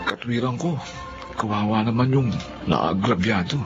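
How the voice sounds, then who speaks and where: A man's voice: lowish voice, normal speed, close to an everyday voice. An actor playing a kind-hearted young Filipino bachelor, earnestly narrating his own story in a radio drama. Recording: dull lo-fi radio drama over music.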